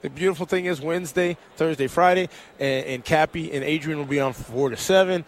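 A man hosting a sports radio show in casual, animated banter with many fillers, talking into a headset at a broadcast booth. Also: a little high, a little fast, slight background noise. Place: broadcast booth on a busy event radio row.

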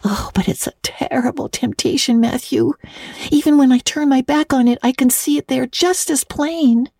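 Chatty storybook girl, dreamy and eager, a woman playing her, bright-pitched but mellow, close dry read. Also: moderate pace, vocal fry, husky, no room sound, full character voice. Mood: chattering, earnest. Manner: breathless rambling girlish chatter. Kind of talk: audiobook reading.

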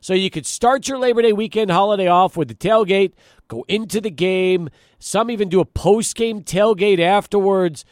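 A man's voice: sits high, normal speed, no room sound, clean studio sound. A middle-aged man hosting a sports talk radio show, conversational and emphatic, punctuating his points. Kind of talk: sports talk radio, chatting and prompting callers. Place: radio studio.

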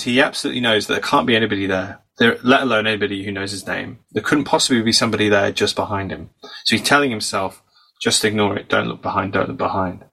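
A man's voice: fairly low pitch, sharp tone, husky, low-quality recording. A man from the United Kingdom, a psychology professor and author, telling stories fluently as a guest in an interview, slightly losing his voice from a cold. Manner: fluent storytelling, explanatory. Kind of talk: conversational podcast interview.

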